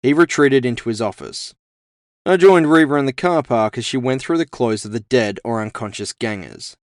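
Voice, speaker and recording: A man with a medium-pitched voice narrates scripted fiction in the first person. The recording is close and dry, with no room sound on the voice. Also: moderate pace, no echo. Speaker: author and narrator.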